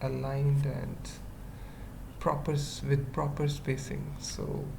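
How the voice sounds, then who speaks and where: Coding screencast presenter, very gravelly fry, halting murmured delivery, thinking aloud, noticeable background noise. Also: mid-range voice, level tone. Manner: halting, murmured fragments while working. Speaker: web development tutorial presenter.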